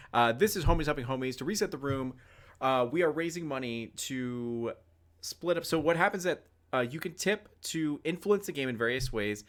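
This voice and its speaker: A man's voice: mid-range voice, fairly bright tone. Chatty male game master hosting a stream. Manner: chatty, filler-heavy, thankful to donors.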